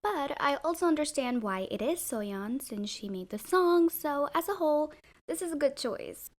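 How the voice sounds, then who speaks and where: A woman with a high voice that has gone hoarse, talking through a scripted voice-over. She rates things with playful, sarcastic jabs and strong opinions. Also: animated, normal speed. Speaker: YouTuber reviewing music video thumbnails.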